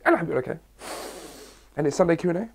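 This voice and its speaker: A young man from England with a cold and a hoarse voice, chatting his way through a casual Q&A vlog. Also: fairly high pitch, animated, rapid-fire, background noise. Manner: chatty, rambling, reads questions aloud. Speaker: fitness vlogger.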